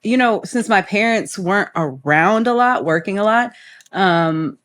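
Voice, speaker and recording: A woman's voice: medium pitch, expressive, unhurried, a bit bright. A young woman from Florida, a singer guest, talking in a chatty, rambling way and laughing often. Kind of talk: casual interview chat.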